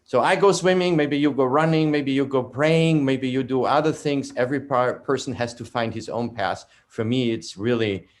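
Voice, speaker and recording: Austrian-accented man, halting and earnest. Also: mid-range voice. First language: German. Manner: halting non-native phrasing, earnest. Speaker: company founder. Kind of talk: online panel remarks.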